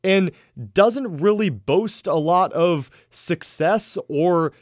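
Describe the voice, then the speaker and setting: A man co-hosting a sports radio show, breaking down a game in a measured, analytical way. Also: sits high, no room sound, clean studio sound. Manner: measured, analytical, some filler words.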